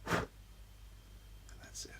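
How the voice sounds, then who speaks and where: A man speaking in soft, breathy, often whispered ASMR style, gently soothing and reassuring like a practitioner calming a client. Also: slow pace. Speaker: ASMR host, role-playing Reiki practitioner. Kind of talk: soft-spoken ASMR, personal-attention session. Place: small room indoors.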